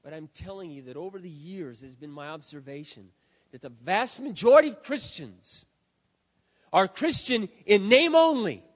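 A high-voiced man preaches in a large hall, his sound dull and trailing a long reverb. He is hoarse and swings from hushed, earnest pleading to loud, impassioned shouting. He is a pastor delivering a sermon. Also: expressive, normal speed. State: hoarse, says he has lost his voice.

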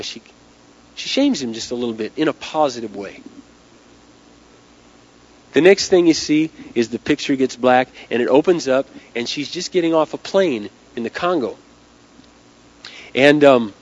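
A man who is losing his voice, telling a story dramatically and conversationally as an inspirational speaker. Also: medium pitch. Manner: dramatic, conversational, acting out dialogue. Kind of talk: storytelling talk to a live audience. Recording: dull lo-fi talk recording.

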